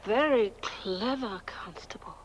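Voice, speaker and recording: An actress playing a genteel, probing lady of the house in a comic film, formal and measured. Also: medium pitch, heavy background noise, close to an everyday voice.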